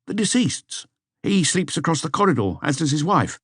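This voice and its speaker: A man's voice: on the high side, big pitch swings, a little fast, slightly rough, no echo, studio quality, voice pushed up. A man voicing a hesitant, deferential police inspector giving a report in an audiobook. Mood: matter-of-fact, hesitant. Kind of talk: audiobook character dialogue.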